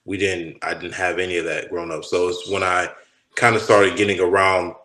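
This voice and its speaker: A man from Texas with a low voice tells stories about his football days in a relaxed, rambling way, circling back and restarting his sentences. His voice carries the echo of the room he is in. He sounds like a former player who is a guest on an interview. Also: brisk. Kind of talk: casual interview talk.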